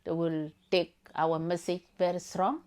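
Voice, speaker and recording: An older woman, a grandmother from Sudan, speaking slowly and hesitantly. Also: lowish voice, level tone, darkish tone, clear, resonant tone. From South Sudan.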